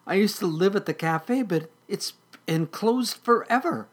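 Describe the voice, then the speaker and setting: A storyteller voicing a small orphaned kitten, sweet and timid but insistent, with mewing, pleading, halting speech. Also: mid-range voice, animated, pitched above the performer's own voice. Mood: forlorn.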